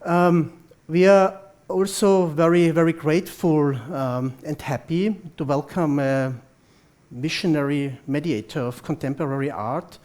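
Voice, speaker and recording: A man's voice: on the high side, measured pace, darkish tone. A man hosting an event who introduces the guest speaker in a hesitant way, with many fillers and repeated words. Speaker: event host.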